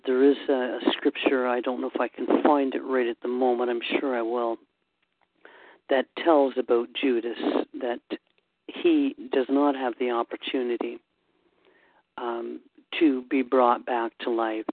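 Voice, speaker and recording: A woman hosting an informal Bible study chat, unhurried and thinking aloud with frequent pauses and ums. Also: extremely low pitch, dry recording. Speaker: host. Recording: dry, tinny phone-like line.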